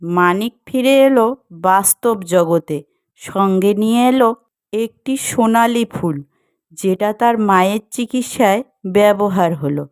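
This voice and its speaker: A woman's voice: medium pitch, takes it slowly, no room sound, studio quality. A woman reading scripted fairy-tale narration as the storyteller of a cartoon. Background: music.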